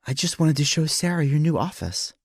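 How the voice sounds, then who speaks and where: A man voicing a gentle, patient young wife and mother in an audiobook, with a light voice. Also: a little high, sing-song, fairly quick, breathy edge, dry recording, voice pushed up, heavily acted.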